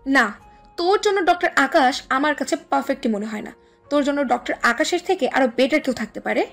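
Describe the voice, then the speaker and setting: A woman's voice: high-pitched, lively intonation, fairly quick, a bit bright. A woman playing a touchy, teasing young sister in a dramatized audio love story. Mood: insistent, confiding. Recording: music under the voice.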